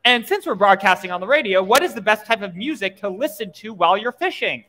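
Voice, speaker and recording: Announcer: American man, 1940s radio style, high-pitched, declamatory, reverberant hall. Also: animated, fast talker, sharp tone. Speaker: radio show performer and announcer. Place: stage in a museum event hall. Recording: reverberant hall stage sound.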